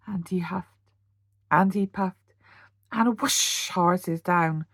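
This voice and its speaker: A British woman, a teacher, reading a children's storybook aloud in an animated bedtime-story style. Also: mid-range voice, takes it slowly, husky, dry recording, clean studio sound. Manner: animated, with gasps and sound words.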